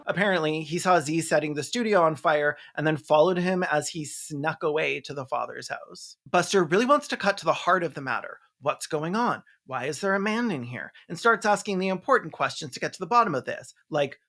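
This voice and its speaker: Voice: medium pitch, lively intonation, a little fast, few pauses, fairly bright tone. A video essayist giving a sarcastic, theatrical comedic recap of a film. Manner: sardonic, theatrical, playful mock quoting.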